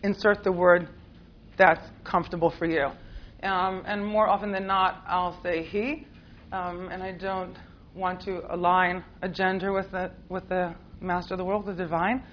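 A woman's voice: on the low side, little pitch movement, moderate pace, highs cut off, a little hiss or hum. A woman with an American accent, who grew up in Israel, teaching a class in a chatty, playful way with lots of fillers and laughs. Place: classroom with a live group.

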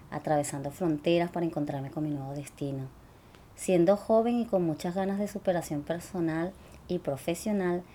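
A young woman with a Venezuelan accent reads her memoir in a measured, deliberate way. Her voice sits low and mellow. A faint noise runs under the recording. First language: Spanish. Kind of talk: reading a personal memoir.

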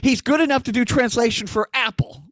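A man's voice: very high voice, a little fast, fairly bright tone, no echo. A man hosting a casual chat, rambling from one anecdote to the next. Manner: rambling storyteller, jumping between anecdotes. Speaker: podcast host.

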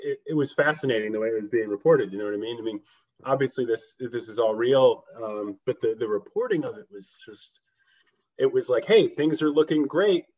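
A man chatting casually as a podcast guest, informal and quick to laugh, on a remote call through a microphone. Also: mid-range voice, darkish tone. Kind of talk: casual chat. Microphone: USB microphone on remote call. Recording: slightly dull remote call audio.

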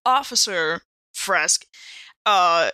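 A woman's voice: lowish voice, lively intonation, drawn-out words, pushed and bright, smooth, no echo. A woman improvising in character as a player in a role-playing game, wry and a little hesitant. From San Francisco Bay Area, said in character.

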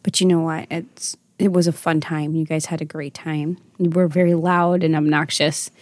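A woman's voice: deep voice, level tone, moderate pace, a little mellow, dry recording. A woman in her thirties hosting a casual chat with her daughter, affectionate and motherly, laughing often. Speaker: podcast host and mother.

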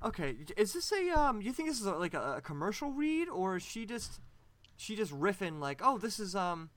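A man's voice: sits high, sing-song, moderate pace, fairly bright tone, no echo. A man co-hosting a podcast, chatting casually and mocking what he reads out, laughing a lot. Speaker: podcast co-host. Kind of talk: casual chat.

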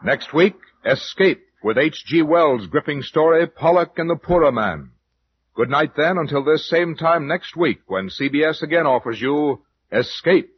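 A man's voice: a little high, expressive, normal speed, slight background noise. A man with an American accent, announcing formally from a script in the style of 1940s network radio. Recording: muffled vintage radio broadcast recording.

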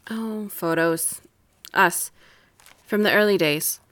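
An actress playing a fond, dryly teasing middle-aged wife in a naturalistic audio drama. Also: female voice, fairly low pitch, takes it slowly. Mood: dry, guarded. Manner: dry, gently teasing.

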